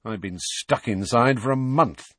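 A man's voice: medium pitch, measured pace, no room sound, lightly acted. A man voicing a restless, bitter godfather in a storybook, with a dry, sarcastic, complaining manner.

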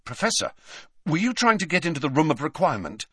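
A man's voice: mid-range voice, very animated, fairly quick, fairly bright tone, husky, dry recording, clean studio sound, voice pushed up. A man voicing an earnest, inquisitive teenage schoolboy in an audiobook, with an urgent, questioning manner. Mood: concerned, curious.

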